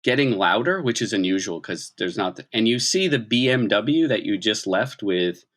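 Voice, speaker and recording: A man's voice: lowish voice, expressive, normal speed, fairly bright tone. A man narrating an improvised tabletop role-playing game as game master, in a conversational way and acting out reactions.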